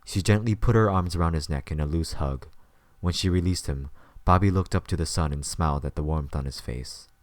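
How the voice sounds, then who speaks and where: A man's voice: deep voice, brisk, no room sound. A man reading scripted story narration as the narrator of an audio drama.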